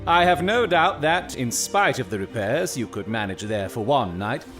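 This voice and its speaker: An actor playing a coolly analytical Victorian gentleman detective in an audio drama, speaking precisely and formally with dry authority. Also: medium pitch, expressive, normal speed, faint music behind, close to an everyday voice. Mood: instructing. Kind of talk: period audio drama acting.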